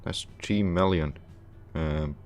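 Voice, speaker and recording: A man from Romania hosting a casual gaming livestream, thinking aloud in a halting, hesitant way. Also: a bass voice. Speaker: livestream host playing a game.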